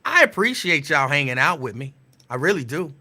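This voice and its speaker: Bright-voiced man chatting casually. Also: fairly high pitch. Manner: relaxed, rambling, drawn-out repeats. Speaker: show host. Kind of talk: casual live-stream chat.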